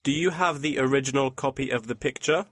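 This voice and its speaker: A man's voice: medium pitch, fairly quick, fairly bright tone, close to an everyday voice. A man playing a courteous, businesslike printing company employee in a scripted listening-test dialogue. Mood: polite, attentive. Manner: courteous, customer-service formal. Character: polite, businesslike male printing company employee taking a customer's requests.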